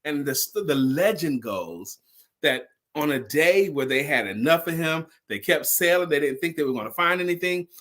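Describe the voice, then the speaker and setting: A man's voice: on the high side, animated, normal speed, fairly bright tone. A man telling a story in an informal, conversational way, emphatic and repeating key phrases to drive them home. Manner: acts out dialogue in his own retelling. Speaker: storyteller and sales speaker.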